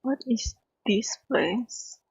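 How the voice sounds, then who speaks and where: A woman from Indonesia, streaming a game and chatting with viewers, speaking slowly and haltingly with a strained, hurt voice. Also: a little high, creaky, a little mellow, slightly rough, no room sound, dull audio. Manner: slow, halting, repeats words. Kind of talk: casual gameplay chat with viewers.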